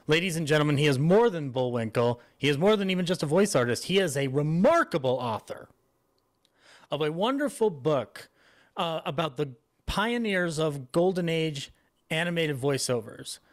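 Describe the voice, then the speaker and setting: A man's voice: fairly high pitch, expressive, normal speed, no echo. A man in his thirties hosting an online event, talking in an effusive, rambling way into a close desk microphone. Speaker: podcast host introducing and interviewing a guest. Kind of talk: enthusiastic live event introduction and chat. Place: indoor room at home on a video call. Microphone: close desk microphone with headset.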